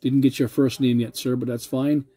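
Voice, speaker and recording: A man's voice: medium pitch, flat delivery, normal speed, mellow. A man making a CB radio contact, polite and using radio procedure words. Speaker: CB radio operator.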